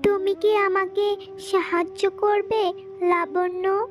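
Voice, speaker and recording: A woman's voice: very high-pitched, little pitch movement, slow pace, slight background noise. A woman voicing earnest young girls and fairies of a magic forest in a cartoon fairy tale. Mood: earnest, pleading. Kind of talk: animated fairy-tale dialogue.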